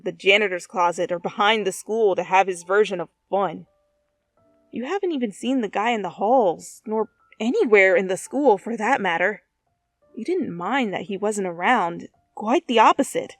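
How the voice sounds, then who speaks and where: Woman, story narrator, expressive fan fiction reading, dry sound, soft music bed underneath. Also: medium pitch, no echo.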